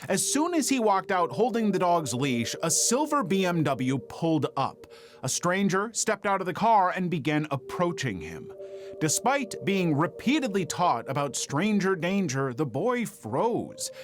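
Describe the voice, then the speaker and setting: A man reading scripted true-crime narration in a measured, dramatic storytelling manner. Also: sits high, big pitch swings.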